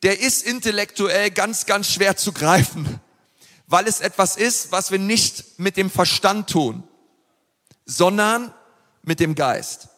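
A man's voice: sits high, slow pace, sharp tone. A man preaching a sermon in an earnest, emphatic way, with frequent fillers and restarts. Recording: long reverb of a large hall.

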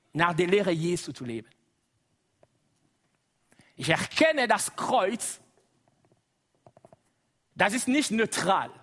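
Brief: Congolese-accented male preacher, middle-aged, high voice, very animated sermon delivery. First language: Lingala. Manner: lively, exclaims and laughs often, asks the congregation to repeat. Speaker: guest preacher.

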